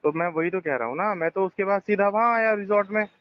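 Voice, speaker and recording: A man's voice: high-pitched, quick pace, no room sound. A man in a tense phone conversation, explaining himself insistently and repeating his points. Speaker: resort manager on a phone call. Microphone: telephone. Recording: muffled lo-fi recorded phone call.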